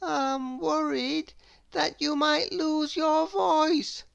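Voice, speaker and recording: Woman as anxious little storybook man, high-pitched, plaintive, drawn-out words. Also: fairly even intonation, creaky, no room sound, muffled recording, heavily acted. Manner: worried, plaintive, drawn-out delivery.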